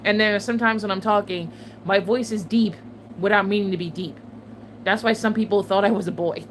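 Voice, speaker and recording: Chatty woman over steady background noise. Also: medium pitch, brisk, rough recording. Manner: chatty, swears often, laughs between remarks. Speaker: channel host. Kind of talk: casual chat to viewers.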